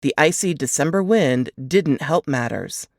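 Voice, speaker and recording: A woman reading scripted fiction aloud in an expressive audiobook narration style. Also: low-pitched, unhurried, creaky, dry recording, clean studio sound.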